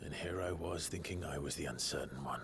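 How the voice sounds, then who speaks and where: A man's voice: extremely low pitch, fairly quick, background noise. A man playing an earnest, brooding young swordsman in a fantasy video game cutscene. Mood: quiet reassurance.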